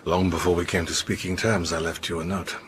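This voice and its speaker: An actor playing a watchful, tender, earnest schoolmaster in a period drama, murmuring hesitantly and repeating words. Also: male voice, low voice, level tone, fairly quick, background noise, natural voice. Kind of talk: period audio drama acting.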